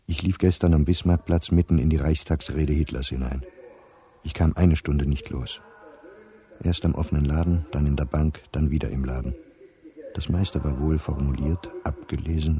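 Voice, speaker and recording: Male, middle-aged diarist for radio drama: low, husky, anxious yet sober and precise. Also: dark tone, heavily acted. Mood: sober, resigned. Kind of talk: dramatic reading of a diary for radio.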